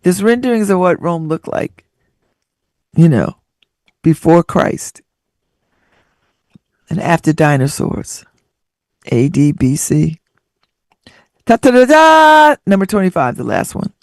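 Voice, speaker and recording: A woman hosts a radio talk show, reading listener stories aloud and breaking into laughter and sassy remarks between them. Her pitch swings widely as she reads and comments. The sound is dry and close, with no room around it. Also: takes it slowly, long pauses, no room sound. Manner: laughs often, animated and sassy asides.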